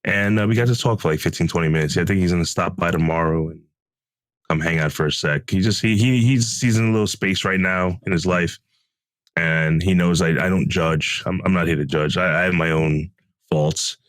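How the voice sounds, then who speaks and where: Low-voiced man telling casual stories. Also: fairly quick, dry recording, studio quality. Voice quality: deep, calm voice. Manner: relaxed storytelling with many fillers. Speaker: podcast co-host. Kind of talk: casual podcast chat. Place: home closet room.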